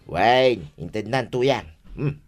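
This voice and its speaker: A man with an Ilocano Filipino accent playing an easygoing, hard-drinking village husband in a radio comedy drama, folksy and excitable. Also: mid-range voice, drawn-out words. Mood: eager, grateful.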